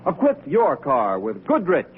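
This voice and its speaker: A man's voice: a little high, huge pitch swings, unhurried. A man announcing in polished, declamatory old-time radio style. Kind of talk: 1930s radio announcing, scripted ad read. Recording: tinny old radio recording.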